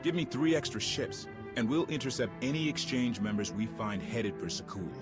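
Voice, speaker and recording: A man's voice: mid-range voice, normal speed, lightly acted. An actor playing an earnest, streetwise male officer in a video game, plainspoken and determined. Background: loud game music.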